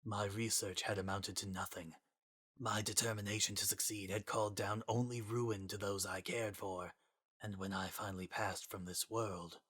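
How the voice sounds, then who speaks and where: A man acting a hushed, solemn, regretful scientist. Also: fairly low pitch, flat delivery, slightly rough. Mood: grave, regretful. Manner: formal, measured, quiet, grave. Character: solemn, regretful male scientist, formal and resigned, lost to the world.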